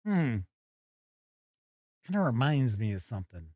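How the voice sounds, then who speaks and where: A man's voice: mid-range voice, sing-song, a little slow, a little mellow, no room sound, clean studio sound. A man hosting a tabletop gaming news show in a casual, chatty way, reading out items and adding wry asides. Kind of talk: casual live news commentary, reading blurbs and viewer comments.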